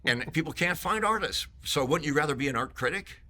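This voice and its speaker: Bright-voiced salesy man, joking. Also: a little high, lively intonation, fairly quick. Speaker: vendor marketing executive. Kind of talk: conference booth interview.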